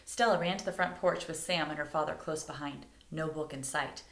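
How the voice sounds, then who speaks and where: A woman's voice: low-pitched, brisk, creaky, fairly bright tone. A woman reading a storybook aloud to children, stopping to put questions to them. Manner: engaging listeners with questions. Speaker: storybook reader. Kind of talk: animated read-aloud to children. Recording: echoey room.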